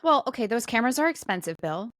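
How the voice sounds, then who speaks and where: A woman's voice: a little high, very animated, fast talker, fairly bright tone, no echo, clean studio sound. A woman co-hosting a talk podcast, chatting casually in a conversational, musing way. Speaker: podcast co-host. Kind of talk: casual chat.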